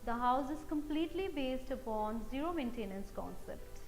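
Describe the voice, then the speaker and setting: A woman's voice: sits high, animated, moderate pace, faint music behind. A woman with an Indian accent reading scripted news as a presenter. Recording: echoey room, faint background noise.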